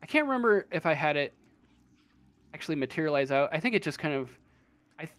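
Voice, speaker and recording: A man's voice: fairly high pitch, sing-song, fast, a bit bright. A man acting as game master, casually explaining a tabletop roleplaying game in a hesitant way, as if thinking aloud.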